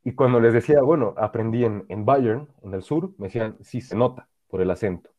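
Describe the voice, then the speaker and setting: A man's voice: mid-range voice, brisk. A young man with a Bolivian Spanish accent, talking earnestly and reflectively in a casual podcast chat. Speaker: podcast guest. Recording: dull lo-fi sound.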